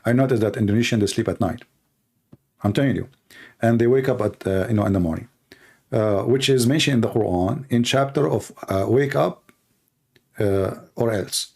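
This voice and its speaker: Gravelly, husky man with an Arabic accent. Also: medium pitch, darkish tone. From Middle East. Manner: sarcastic, mocking; halting, non-native phrasing. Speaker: host, religious apologist. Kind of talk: live call-in broadcast, rambling informal commentary.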